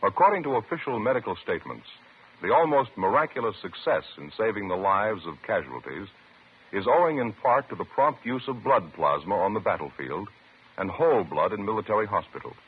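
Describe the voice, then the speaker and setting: An American man announcing from a script in a formal, measured and emphatic 1950s radio style. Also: fairly low pitch, sing-song, breathy edge. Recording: muffled old radio recording with hiss.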